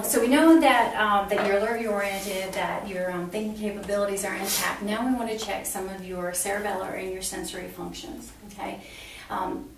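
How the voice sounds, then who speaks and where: Creaky-voiced woman instructor in a roomy exam room. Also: medium pitch. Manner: instructional, explaining each step aloud. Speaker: nursing instructor demonstrating a physical exam. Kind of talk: clinical demonstration explained to a patient. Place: small block-walled exam room. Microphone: camera a few feet away. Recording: roomy, distant camera mic, faint noise.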